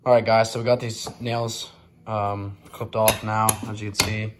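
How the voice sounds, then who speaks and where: Low-voiced young man narrating shop work. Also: moderate pace, slight background noise. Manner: relaxed, filler-heavy explaining. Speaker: DIY video co-host. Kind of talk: casual how-to narration. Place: cold wood shop shed.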